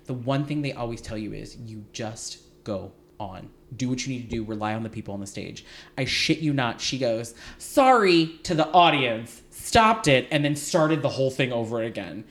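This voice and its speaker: A man hosting a podcast, telling stories in an animated, gossipy way with a slight lisp now and then. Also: medium pitch, moderate pace. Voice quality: light lisp at times. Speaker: podcast host. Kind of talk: casual chat.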